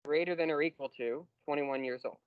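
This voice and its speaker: A man teaching a university math class, explaining step by step and slowing down on key terms. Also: a little high, clear, resonant tone, no room sound, lo-fi sound. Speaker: math lecturer. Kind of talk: classroom lecture.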